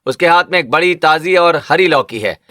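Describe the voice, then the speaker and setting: Bright high-pitched man narrating a story. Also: expressive, moderate pace, a little hiss or hum.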